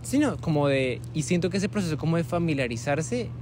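A man's voice: on the high side, fairly quick. Young man, host, casual chat, many fillers, outdoors, traffic noise behind. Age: young adult. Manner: relaxed, conversational, many fillers like "como" and "eh". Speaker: host, medical student interviewer. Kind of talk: casual improvised interview. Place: outdoors on a university campus plaza with trees.

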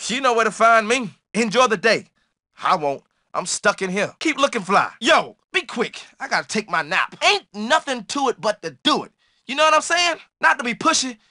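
Casting: cocky young street-smart jock for a video game, bright punchy voice, very animated, slangy, dry studio sound. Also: male voice, high-pitched, no room sound, exaggerated voice. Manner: slangy, brash trash talk. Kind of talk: video game character barks.

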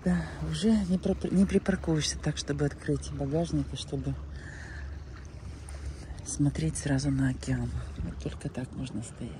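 An older woman chatting casually for a vlog, musing in unhurried short phrases, recorded on a handheld phone. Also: low-pitched, fairly even intonation, background noise. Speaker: travel vlogger. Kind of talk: casual first-person vlog chat. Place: outdoors by the shore, in a car, in a cafe.

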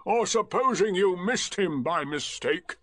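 A man voicing a gloomy, sarcastic old male donkey who speaks in dry, drawn-out remarks. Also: high-pitched, expressive, a bit bright, no echo, voice pushed up, full character voice. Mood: sardonic.

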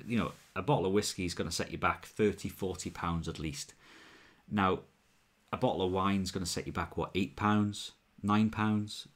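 Low-voiced young Welsh man chatting on a livestream. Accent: mild Welsh. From Wales. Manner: chatty, reads out and answers viewer comments. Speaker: whisky livestream host. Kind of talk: casual livestream chat. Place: small room at home, bottles on a shelf behind. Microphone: wired earphone mic.

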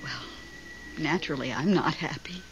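A woman's voice: sits low, normal speed, music in the background. A woman playing an emotional, clinging widow with a dramatic, wistful, insistent manner in 1970s radio drama style. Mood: pleading, devoted. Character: emotional, clinging widow named Melba, devoted to dead husband. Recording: dull 1970s radio recording, noisy.

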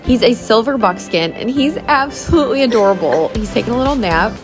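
A woman's voice: fairly high pitch, lively intonation, a little fast, music in the background. A woman in her thirties narrating a casual vlog in a gushing, enthusiastic manner. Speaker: vlogger and horse owner.

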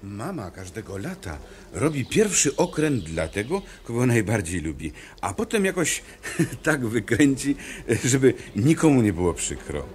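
Lively, innocent young troll. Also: male voice, medium pitch, a little slow, slight background noise. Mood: fond, chatty. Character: young male Moomin troll, affectionate and innocent child. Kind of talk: radio play acting.

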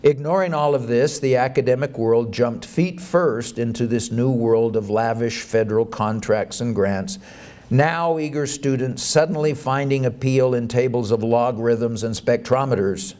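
A middle-aged man reads a formal academic lecture aloud in a measured, deliberate way at a lectern microphone. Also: mid-range voice, a little mellow. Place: indoor conference hall, at a lectern. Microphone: boom microphone on the lectern.

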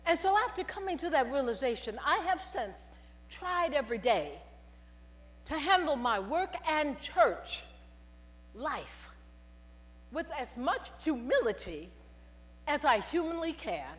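A woman's voice: sits high, takes it slowly, long pauses. An older woman in a purple robe giving a spoken testimony from the pulpit, telling stories and calling out to the congregation. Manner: animated storytelling with call-and-response. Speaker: church speaker giving testimony. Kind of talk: testimony sermon. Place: large church sanctuary at pulpit. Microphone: headset mic. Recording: long church reverb with mains hum.

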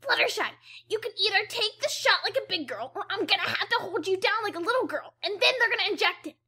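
A woman's voice: very high-pitched, animated, fast, pushed and bright, exaggerated voice. A woman voicing a brash, tomboyish pegasus mare who talks cockily and bossily in a fan radio play. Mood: bossy. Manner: cocky and bossy, blunt teasing.

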